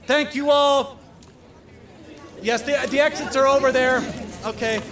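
A flustered party emcee in an audio drama. His voice is very high and bright, slow and halting as he placates people. A faint crowd murmurs behind him. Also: steady tone, heavily acted. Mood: flustered, placating. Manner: halting, stammering, repetitive. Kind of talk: satirical audio drama acting.

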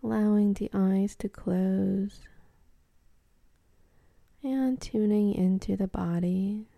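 A woman guides a meditation slowly and softly, often slipping toward a whisper. Her tone is very dark and relaxed, with a creaky edge. She leaves long, calm pauses between short phrases. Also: medium pitch, no room sound. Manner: soft, calm, breathy, half-whispered delivery with long pauses.

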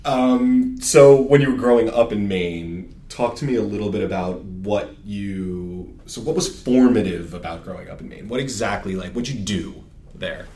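A man's voice: lowish voice, normal speed, mellow, reverberant. A man hosting a podcast, opening the episode with wry jokes and then interviewing a guest. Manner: upbeat, wry, many fillers. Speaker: podcast host. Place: large conference room with windows.

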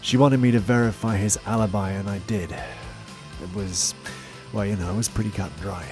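An actor playing a weary, evasive private investigator in a naturalistic audio drama. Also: male voice, on the low side, moderate pace, over music, lightly acted. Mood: doubtful, evasive. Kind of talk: naturalistic radio drama dialogue.

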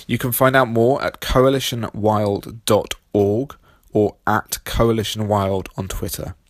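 A man hosting a podcast, husky from being sick, reading a scripted intro and carefully spelling out web addresses. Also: lowish voice, no room sound, clean studio sound. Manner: steady, reads details slowly and spells them out. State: husky from illness. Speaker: podcast host.

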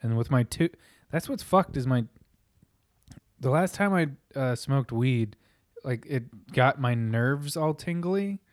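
A man co-hosting a casual chat, talking loosely and profanely with many restarts, his voice a little hoarse and raspy. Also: medium pitch, lively intonation, takes it slowly, dry recording, studio quality.

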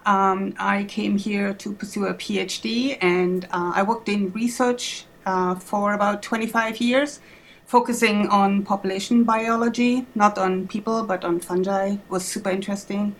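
A woman from Germany in her fifties with a German accent, speaking as an activist guest in a casual, halting way with many fillers and restarts. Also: medium pitch, fairly even intonation. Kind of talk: casual spoken perspective.

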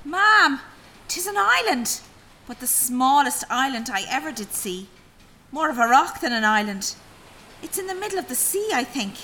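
High, bright young captive. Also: female voice, sing-song, moderate pace, a little hiss or hum, heavily acted. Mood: nervous. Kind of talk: audio drama acting.

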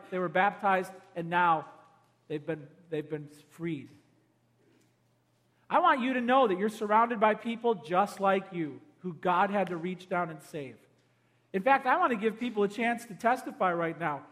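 A high-pitched man preaching an urgent altar call to a congregation. He pleads and presses, climbing to loud, insistent peaks before sinking to a near-whisper. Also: lively intonation, normal speed. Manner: urgent, pleading, swells loud then drops to a hush. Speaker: pastor. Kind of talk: evangelistic altar call sermon.

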